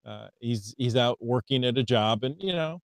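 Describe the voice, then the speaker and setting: An American man co-hosts a relaxed hobby podcast with an upbeat, chatty delivery. He reads listener mail aloud, trips over foreign names, and talks in an ordinary middle register. The sound is dry and close, with no room around it. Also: medium pitch, moderate pace, no echo. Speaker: podcast co-host. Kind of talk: casual podcast chat.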